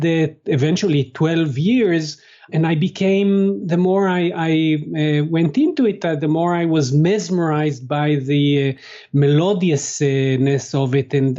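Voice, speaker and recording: Man speaking English as a second language, with many halting fillers. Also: on the high side, dark tone, lo-fi sound. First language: Hebrew. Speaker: guest, translator. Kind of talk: interview answers.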